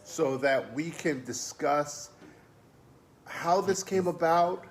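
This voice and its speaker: A man's voice: a little high, takes it slowly, slight background noise. A man hosting an online interview, speaking enthusiastically and praising the guest's work. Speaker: interviewer host.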